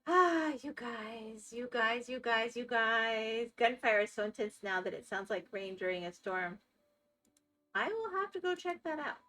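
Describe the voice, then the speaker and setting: A woman's voice: fairly high pitch, lively intonation, measured pace, sharp tone. A woman with a Florida accent, chatting casually with viewers as a live streamer and reacting to their comments. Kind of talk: casual chat while gaming.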